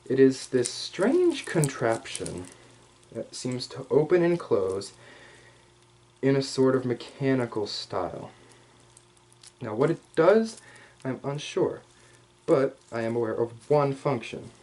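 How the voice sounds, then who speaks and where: A man voicing a male hyena furry commander in a casual, rambling, playfully cocky ASMR roleplay, speaking straight to the listener with slangy asides and hesitant pauses. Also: mid-range voice, big pitch swings, a little mellow, exaggerated voice. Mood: casual, boastful. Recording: clear background noise.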